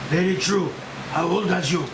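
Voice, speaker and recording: A man's voice: high voice, normal speed. Courteous, inquisitive male doctor read by a man, table read with room echo and noise. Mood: polite, curious. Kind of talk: movie script table read. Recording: echoey room, clear noise.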